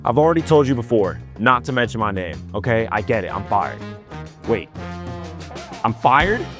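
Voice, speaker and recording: A self-important wildlife presenter, acted by a man, speaks. His pitch is middling but moves around a great deal. Music plays behind him. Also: lively intonation, fast, fairly bright tone, natural voice. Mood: defensive. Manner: pompous, theatrical. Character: pompous, self-important male nature documentary host, affected accent. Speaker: nature documentary presenter. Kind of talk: mock nature documentary narration.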